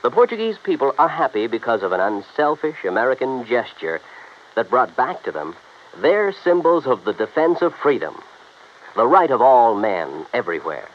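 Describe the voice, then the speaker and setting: Expressive vintage radio announcer, man. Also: mid-range voice. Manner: measured, formal, earnest. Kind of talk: scripted narration, 1950s radio announcing. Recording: dull, faintly noisy vintage radio recording.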